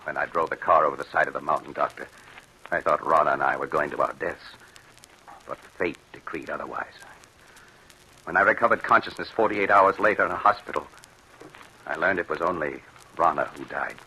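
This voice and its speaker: An actor playing a haunted, bitter husband with grave, dramatic delivery in an old-time radio drama. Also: male voice, very deep voice, brisk, pauses often, bright, forceful tone, heavily acted, rough recording. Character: haunted, bitter husband recounting his troubled marriage.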